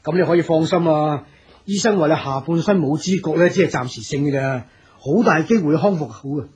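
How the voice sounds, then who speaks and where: An actor playing a loyal, tactful aide in a radio drama, hesitant and soothing, with a Hong Kong Cantonese accent. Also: male voice, on the high side, brisk, a little mellow, breathy edge. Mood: deferential, concerned. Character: loyal, tactful male aide to a stern boss.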